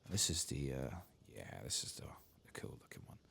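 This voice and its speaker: A man softly speaking and whispering ASMR game commentary, muttering to himself as he goes. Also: very deep voice, sing-song, normal speed, vocal fry, slight background noise. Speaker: host of an ASMR game playthrough.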